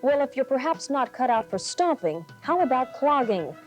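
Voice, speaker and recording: A woman's voice: high voice, very animated, normal speed. A woman reading local television news as an anchor, in a newscast style. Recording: faint music, 1980s TV broadcast.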